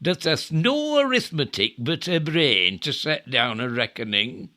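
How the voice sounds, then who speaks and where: An actor playing a scurrilous, sneering Greek camp fool in a Shakespearean audio drama, with theatrical, exaggerated mockery. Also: male voice, on the high side, very animated, a little slow, sharp tone, exaggerated voice. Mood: gleeful mockery.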